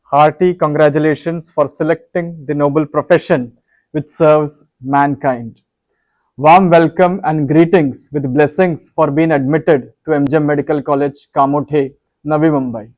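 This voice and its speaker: A formal college dean, voiced by a man delivers a solemn welcome speech. His voice sits a little high and sounds close and dry. He speaks with measured ceremony. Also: fairly even intonation, a little mellow, no room sound, muffled recording, close to an everyday voice.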